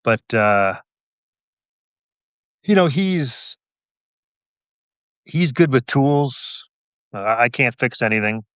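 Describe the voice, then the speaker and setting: A man's voice: mid-range voice, lively intonation, very slow, long pauses, a bit bright, clean tone, no room sound. A middle-aged man answering as an interview guest in a casual, wry, understated way. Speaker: guest, crime novelist and police officer.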